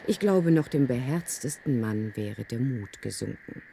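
A man's voice: mid-range voice, animated, unhurried, creaky, dark tone, a little hiss or hum. An actor in a German radio play, playing an uneasy, worried man. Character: uneasy, worried man who senses something is wrong.

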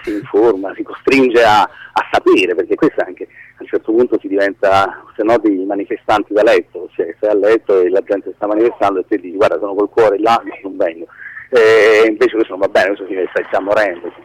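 A man giving a casual radio interview as a guest, haltingly searching for his words. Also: on the low side, steady tone, dry recording, rough recording. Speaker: guest, screenwriter.

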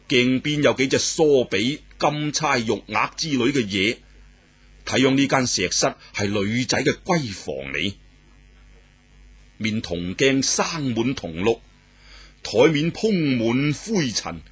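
Male storyteller, raspy voice, lively swooping delivery, unhurried. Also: mid-range voice. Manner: animated, exclamatory, dramatic pauses. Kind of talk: traditional oral storytelling of a martial arts novel.

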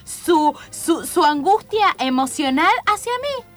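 A woman's voice: high voice, expressive, takes it slowly, faint music behind. A woman playing a catty, effusive neighbor defending her little dog in an improvised comic radio play, with an Argentine accent. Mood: scornful, catty. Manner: effusive, gushing, quarrelsome.

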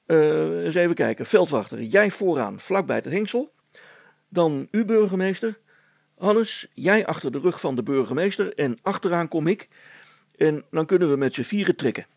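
A man voicing a practical handyman father in a storybook, giving deliberate step-by-step instructions. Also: on the high side, expressive, creaky, darkish tone, no room sound, voice pushed up. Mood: brisk instructing. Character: practical handyman father, calmly organising everyone.

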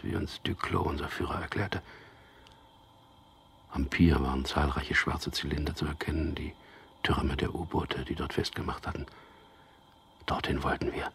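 An actor in a German radio drama playing a resolute man on a secret mission, narrating deliberately and introspectively in a gravelly, husky, hushed voice. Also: a bass voice, exaggerated voice. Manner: deliberate, introspective, recounting events.

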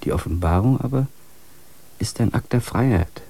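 Deep husky guy narrating a radio play, dark mellow tone, slow and thoughtful. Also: expressive. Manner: measured, contemplative.

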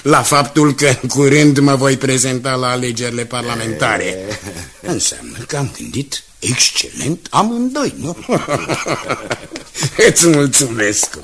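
An actor playing a genial, calculating company director in a radio drama, hearty and self-assured in manner. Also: male voice, medium pitch, close to an everyday voice. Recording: noisy 1980s radio drama tape.

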